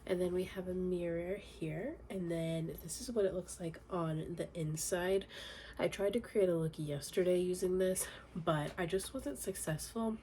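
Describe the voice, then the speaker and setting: A woman's voice: on the low side, moderate pace, slight background noise. A young woman with a congested, nasal voice from a cold, chatting casually in a makeup tutorial at home. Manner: chatty, relaxed, many fillers. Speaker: beauty vlogger. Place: home, indoors.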